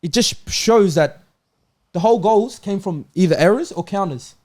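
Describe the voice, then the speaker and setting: A man's voice: high-pitched, lively intonation, normal speed, darkish tone, dry recording. A man in a casual sports debate, arguing and questioning insistently as a panellist. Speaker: football talk show panellist.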